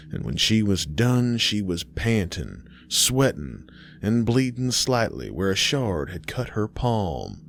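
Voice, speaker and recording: Deep-voiced man narrating horror. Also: a little hiss or hum. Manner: dramatic, measured storytelling with pauses. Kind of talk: scripted narration of a horror story.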